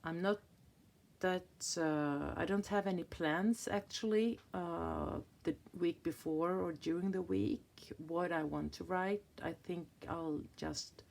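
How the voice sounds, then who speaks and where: Swedish lady in her 50s, low gravelly voice, slow and unsure, lots of restarts while she flips her planner. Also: darkish tone. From Sweden. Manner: hesitant, searching for words, many restarts. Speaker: planner vlogger. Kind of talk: casual flip-through chat. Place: indoors at a table, hands on a planner.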